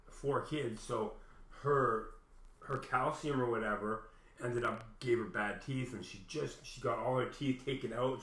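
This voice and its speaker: A man's voice: mid-range voice, fairly bright tone. A man with a big voice telling a personal story in a rambling, agitated, heavily profane and casual way. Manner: profane, heavy swearing, agitated retelling. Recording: echoey room.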